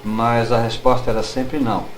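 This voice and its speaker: A middle-aged man with a Brazilian accent, reminiscing as an interview guest in an unhurried, halting way, searching for his words. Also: medium pitch, little pitch movement, over music. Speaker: guest writer.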